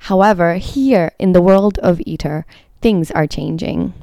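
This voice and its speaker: A woman's voice: on the low side, unhurried, dark tone, no room sound, studio quality. A woman hosting a podcast, reading scripted narration. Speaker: podcast host and interviewer.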